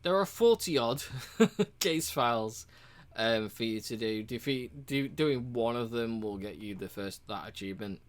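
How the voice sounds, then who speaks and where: A man casually walking through a game's achievements, relaxed and rambling, with frequent ums and uhs. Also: medium pitch, measured pace, hardly any pauses. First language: English. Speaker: game reviewer.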